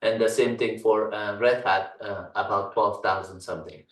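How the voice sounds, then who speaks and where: A man from Afghanistan, a native Dari speaker, talks through a computer tutorial in a halting way, filling pauses and listing items one by one. His voice sits fairly low and stays flat. The mic is some way off in a room with noticeable echo. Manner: halting, many fillers, lists items slowly. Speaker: channel host and tech instructor. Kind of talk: casual screen-recorded tutorial talk. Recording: roomy echo, mic at a distance.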